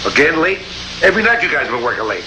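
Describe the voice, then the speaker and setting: A dim-witted patrol cop with a gravelly, rather high voice that jumps all over the place. He pleads and frets in an excitable, earnest way. The sound is an old, hissy TV soundtrack. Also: fast. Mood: eager. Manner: excitable and pleading, swings from eager to crestfallen. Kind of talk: 1960s sitcom acting.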